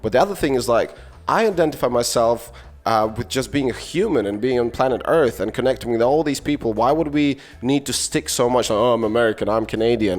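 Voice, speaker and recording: A man with a Latvian accent hosting a casual podcast conversation, rambling and searching for words as he puts his questions and thoughts. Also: mid-range voice. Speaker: podcast host. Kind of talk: casual interview chat.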